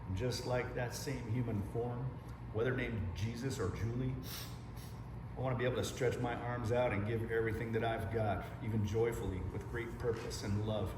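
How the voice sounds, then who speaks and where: A man giving a slow, reflective sermon in a conversational tone with casual swearing. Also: medium pitch, steady tone. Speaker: pastor. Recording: roomy, distant mic with room noise.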